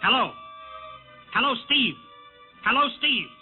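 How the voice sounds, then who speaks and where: A man playing a worried middle-aged male flight controller in a 1940s radio drama, earnest and steady. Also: extremely high pitch, slow pace, music in the background, full character voice. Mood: anxious. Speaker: mission controller. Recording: noisy tinny 1940s radio broadcast.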